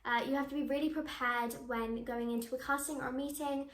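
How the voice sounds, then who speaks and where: A woman's voice: high voice, steady tone, a little fast. A teenage girl, an actress answering interview questions to camera in a bubbly, enthusiastic way. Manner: bubbly, enthusiastic, with frequent laughs. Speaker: young actress. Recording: echoey room.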